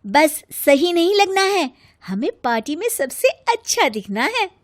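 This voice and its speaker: Lady doing a pushy young fashion-crazy bahu for a cartoon, really high voice, swoops all over. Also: big pitch swings, measured pace, full character voice. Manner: emphatic, pushy. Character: bossy young fashion-obsessed daughter-in-law, insistent and vain.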